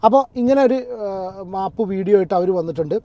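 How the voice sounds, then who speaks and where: A man's voice: sits high, animated, fast talker, no room sound, highs cut off. A man giving casual, digressive online commentary, circling back and rephrasing as he talks. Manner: conversational, digressive, repeats and rephrases himself. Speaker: YouTube commentator reacting to online controversy.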